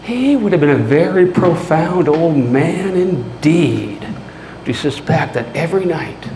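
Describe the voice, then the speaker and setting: A man playing a mad, obsessive, gloating murderer in a theatrical story reading, with dramatic pauses and hushed whispers that swell into manic cries. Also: fairly high pitch, expressive. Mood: sly, measured. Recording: roomy echo, clear background noise.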